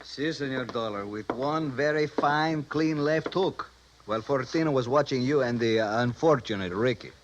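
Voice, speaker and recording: An actor playing a wry, courteous man, speaking in slow, deliberate phrases in 1950s radio drama style. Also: medium pitch, dull audio, natural voice. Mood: wryly pleased. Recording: noisy vintage radio recording.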